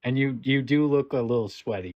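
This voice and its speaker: A man's voice: brisk, no echo. Brief: young man, stream host, teasing and profane, dry dull lo-fi sound. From Alberta, Canada. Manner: teasing, profane, jumps into high exclamations. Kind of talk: casual livestream banter.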